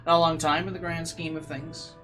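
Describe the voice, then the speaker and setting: A man's voice: a little high, little pitch movement, brisk, pushed and bright, soft background music. A man doing casual livestream commentary and reading a visual novel aloud, rambling and incredulous in manner. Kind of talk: casual livestream commentary mixed with read-aloud game narration.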